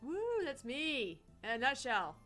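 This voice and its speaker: A woman's voice: fairly high pitch, sing-song, measured pace, bright, forceful tone. A woman co-hosting a gaming channel with casual, teasing, sweary banter. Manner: profane, teasing. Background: music.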